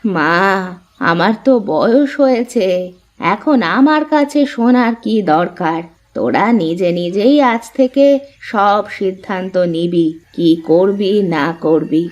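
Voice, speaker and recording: A woman voicing a gentle, ageing mother-in-law in a Bengali cartoon story. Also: medium pitch, expressive, takes it slowly. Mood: mild, resigned. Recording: dull lo-fi sound.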